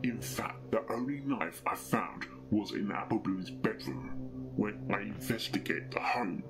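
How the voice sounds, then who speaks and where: A man voicing a stern male pony detective who speaks with deliberate, accusatory authority in a fan fiction reading. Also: lowish voice, lively intonation, whispered, music in the background. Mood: accusing.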